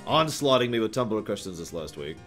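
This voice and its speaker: A man's voice: on the low side, sing-song, fairly quick, a bit bright. A man hosting a live stream, chatting casually with viewers in an emphatic, opinionated way full of conversational asides. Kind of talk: casual livestream talk to chat, answering viewer questions. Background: background music.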